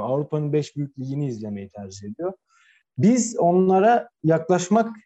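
A man's voice: medium pitch, dark tone. A man co-hosting a football podcast, talking casually and making opinionated points with emphatic repetition. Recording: dull, lo-fi sound.

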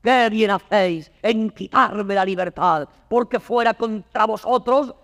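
Slow, gravelly, high-voiced chained prince. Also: male voice, fairly bright tone. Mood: defiant fury. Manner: slow, impassioned verse declamation. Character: chained wild prince, proud, furious and despairing. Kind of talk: classical Spanish verse stage acting. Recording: studio theatre recording, long reverb.

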